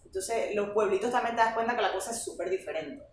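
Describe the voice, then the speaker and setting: A young woman with a Venezuelan accent, a guest telling stories in a lively, chatty podcast interview. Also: medium pitch, fast. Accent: Venezuelan Spanish. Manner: chatty, animated storytelling. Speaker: guest, flamenco teacher. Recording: roomy, distant mic, faint noise.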